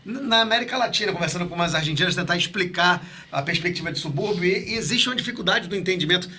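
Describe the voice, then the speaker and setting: A Carioca man hosting a podcast, quick and curious as he puts questions to his guests. His voice sits high and sounds bright and forward, and he talks at a brisk clip. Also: expressive, slight background noise. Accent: Carioca (Rio de Janeiro). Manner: inquisitive, quick, leads questions. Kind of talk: casual interview chat.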